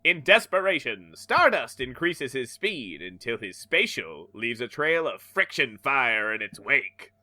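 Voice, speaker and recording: A man narrates a comic with a lively, swinging delivery and often laughs at the text. His voice is bright and forceful. It is recorded dry and close, with no room sound. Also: medium pitch, measured pace, hardly any pauses, no room sound. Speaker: podcast co-host reading a comic aloud. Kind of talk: comedic dramatic reading with banter.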